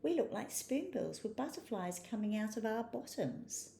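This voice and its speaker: A woman's voice: fairly high pitch, animated, moderate pace, creaky, warm tone, slight background noise, voice pushed up. A woman voicing a blunt, doubting young spoonbill who contradicts emphatically in a children's storybook. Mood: blunt, dismissive.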